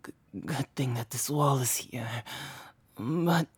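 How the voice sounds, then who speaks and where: A woman voicing a flustered, submissive young man in a dress in an intimate audio roleplay, stammering and pleading in a breathy whisper. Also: a bass voice, slow, no room sound, heavily acted. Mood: breathy complaining.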